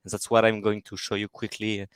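A man's voice: fairly low pitch, monotone, fast talker, smooth, no room sound. A man from France with a French accent, a startup co-founder walking viewers through a product demo.

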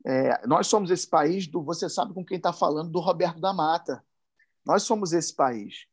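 Carioca man, fairly high voice, impassioned speaker, slangy and emphatic. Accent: Carioca Portuguese. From Brazil. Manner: emphatic, rising and speeding up when worked up, slangy asides. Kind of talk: impassioned talk.

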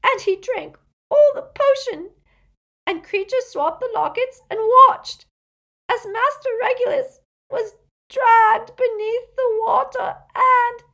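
A woman voicing a frightened, servile old male house-elf in an audiobook, tearful and halting as he speaks of himself in the third person. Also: very high voice, big pitch swings, gravelly, a bit bright, voice pushed up, heavily acted. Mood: sobbing, anguished. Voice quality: tearful, moaning, breaking voice.

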